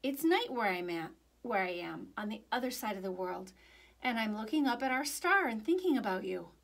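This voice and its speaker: A gentle storybook dad, read by a woman in a high voice. He is reassuring and soothing, explaining things patiently to his child. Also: sing-song, normal speed, creaky, full character voice. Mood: affectionate. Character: gentle, reassuring young father, a soldier leaving home.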